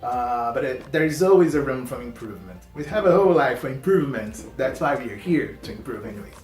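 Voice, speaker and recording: A man with a Portuguese accent in his English, a native Portuguese speaker, hosting a casual reaction video, talking haltingly with many fillers and restarts. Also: mid-range voice, lively intonation, a little mellow. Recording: roomy echo, faint music.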